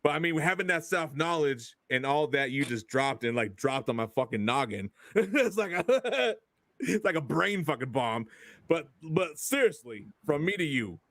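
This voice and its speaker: A man's voice: fairly high pitch, sing-song, moderate pace, a bit bright, no echo, studio quality. A man co-hosting a podcast, laid-back, laughing often and dropping crude, jokey asides. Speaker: podcast co-host. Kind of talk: casual podcast chat.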